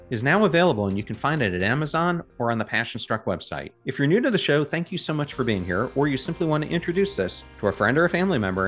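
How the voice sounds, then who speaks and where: Male podcast host, low and lively, soft guitar music behind. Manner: upbeat, promotional delivery. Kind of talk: scripted podcast intro and interview.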